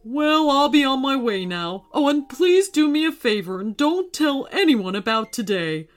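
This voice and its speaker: A woman's voice: high voice, very animated, normal speed, soft background music, pitched above the performer's own voice. A woman voicing a giant male ninja skeleton in a children's story, speaking politely and apologetically. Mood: friendly.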